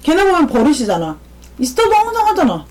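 A woman's voice: high voice, wildly swooping pitch, a little mellow. A woman hosting a podcast in casual chat, asking questions and prompting the others to talk. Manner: fast, inquisitive, prompting others. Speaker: podcast host.

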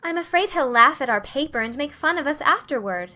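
A woman's voice: high voice, expressive, brisk, pushed and bright, pitched above the performer's own voice. A woman voicing a prim but amiable teenage girl who presides over a storybook club, formal and mock-stern. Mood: doubtful. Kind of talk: storybook character reading.

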